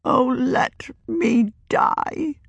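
A woman's voice: high voice, animated, drawn-out words, creaky, breathy edge, dry recording, voice pushed up, full character voice. A woman voicing a frightened, cursed old witch woman who pleads and begs to be allowed to die, in an audiobook reading. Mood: fearful pleading. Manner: pleading, quavering, begging to die.

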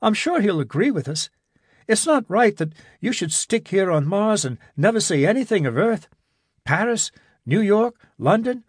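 A man's voice: sits high, big pitch swings, normal speed, husky, no echo, clean studio sound, voice pushed up, heavily acted. A man voicing an eager, self-assured young man in an audiobook reading.

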